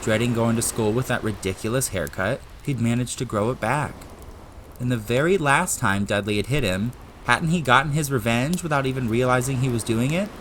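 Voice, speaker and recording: A man delivers dramatic audiobook narration in a bright, forceful voice of medium pitch. Faint ambient effects sit behind the reading. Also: a little fast, slight background noise. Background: ambient sound effects.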